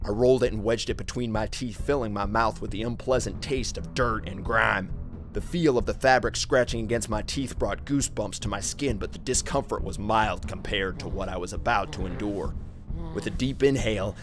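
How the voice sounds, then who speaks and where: A man narrating a first-person horror story in an intense, theatrical, dramatic way. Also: on the low side. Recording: music behind the voice.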